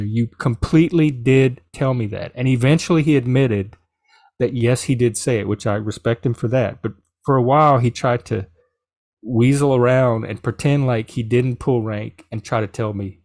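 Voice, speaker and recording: A middle-aged man from South Louisiana with a Cajun English accent, telling a personal story in a casual, animated way. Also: medium pitch, dry recording, studio quality. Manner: animated, quoting others in reported dialogue. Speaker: podcast host.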